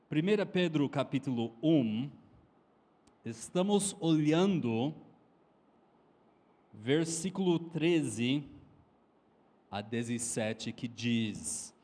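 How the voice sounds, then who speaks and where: A man from Texas with an American accent, preaching a sermon in a language not his first, slowly stressing each command. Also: mid-range voice, a little mellow. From Texas, United States. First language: English. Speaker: pastor.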